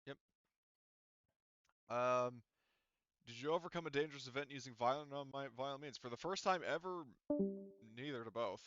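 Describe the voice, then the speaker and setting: A man's voice: mid-range voice, normal speed, long pauses, dull audio, music in the background. A man chatting casually as game master of a tabletop roleplaying game, teasing and swearing freely.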